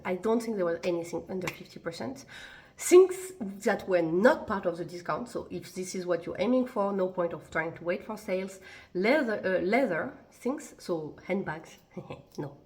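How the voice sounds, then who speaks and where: A woman's voice: fairly low pitch, a little mellow. Chatty woman vlogger with many ums. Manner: chatty, rambling, frequent ums and self-corrections. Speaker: fashion vlogger. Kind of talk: casual chat to camera.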